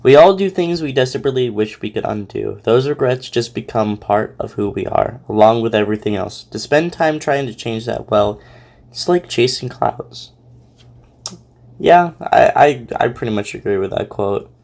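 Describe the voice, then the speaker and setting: A man giving casual, unscripted commentary as a host, speaking in a halting, rambling way with many repeated words. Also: medium pitch, expressive, creaky, a little mellow. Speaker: host reacting to a fan fiction audio reading.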